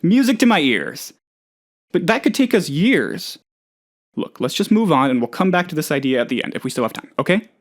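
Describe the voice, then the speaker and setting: An oddball male office worker for a comedic game. His voice sits on the high side and leaps around with animated intonation. He is excitable and scatterbrained, rambling with total earnestness about absurd things. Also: brisk. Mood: earnest, rambling. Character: eccentric, eager, scatterbrained male office colleague, cheerfully odd. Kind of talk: comedic video game character dialogue.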